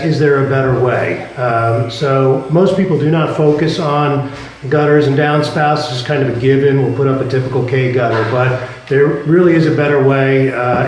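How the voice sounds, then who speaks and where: A man, a home builder, hosting and interviewing on site in a measured way with frequent fillers. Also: mid-range voice. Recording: echoey, with noticeable background noise.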